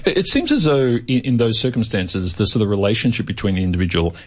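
Husky, low-voiced male radio host. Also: big pitch swings, fast talker, a little mellow. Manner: hesitant, repeats words as he frames questions. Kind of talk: radio interview.

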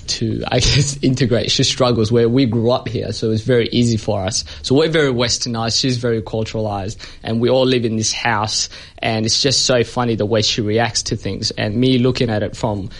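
A man talks as a comedian guest in a radio studio. He rambles through anecdotes in a mid-pitched voice, peppering them with fillers and chuckles. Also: steady tone, moderate pace, few pauses. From Ethiopia. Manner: chatty storyteller, many fillers, laughs often. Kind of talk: casual anecdotal interview chat.

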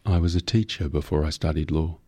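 A man's voice: rumbling low, brisk, darkish tone, slightly rough. A man voicing a nervous, modest male junior lawyer in an audiobook, hesitant and deferential. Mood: deferential, awkward. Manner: hesitant, stammering ums, deferential.

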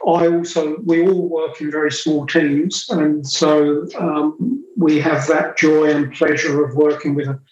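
A man's voice: fairly high pitch, echoey room, highs cut off, slight background noise. A man from England with a Cockney and Essex accent, a psychiatrist answering interview questions hesitantly with many fillers and repeated words. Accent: Cockney and Essex English. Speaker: psychiatrist guest.

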